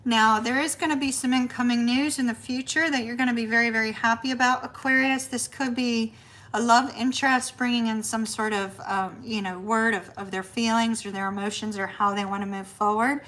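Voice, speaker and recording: A middle-aged woman leads a live tarot reading in a chatty, reassuring way. Her voice sits a little high. It is wearing thin and hoarse as she keeps talking. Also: fairly even intonation, a little fast. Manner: chatty, warm reassuring delivery, says y'all. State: losing her voice. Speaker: tarot reader.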